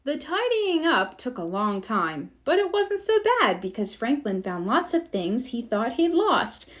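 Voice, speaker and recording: A woman narrating a children's storybook aloud in an expressive read-aloud style. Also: fairly high pitch, unhurried. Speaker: storybook reader.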